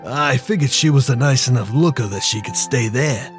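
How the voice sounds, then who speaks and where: A smug gangster boss, acted by a man in a noir radio play. He talks in a guarded way, with soft music behind him. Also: medium pitch, normal speed, close to an everyday voice. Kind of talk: noir audio drama dialogue.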